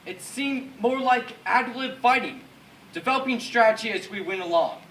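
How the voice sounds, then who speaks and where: A man's voice: very high voice, normal speed, fairly bright tone. A man playing an American Second World War paratrooper officer, recalling battle in an earnest, declamatory stage monologue. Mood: solemn, resolute. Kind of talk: rehearsed dramatic war monologue. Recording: distant echoey room, faint noise.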